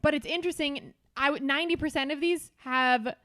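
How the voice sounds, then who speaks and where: A woman co-hosting a podcast in casual chat, wry and quick to swear. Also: sits high, a bit bright, no room sound. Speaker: podcast host.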